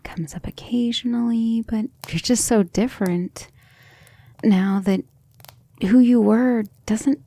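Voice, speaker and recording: A woman acts out an ancient vampire lady in an ASMR roleplay, low in pitch with a soft, dark timbre. She is proud yet devoted, musing tenderly in a breathy murmur that often sinks to a whisper. Her words come out refined and intimate, edged with vocal fry. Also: slow, slight background noise. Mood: wistful, confiding. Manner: affectionate, refined, hesitant musing.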